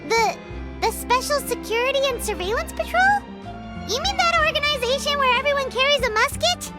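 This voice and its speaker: A woman voicing a tiny, excitable female floating companion in a game, curious and animated, over game music. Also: very high voice, brisk. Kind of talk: video game voice acting.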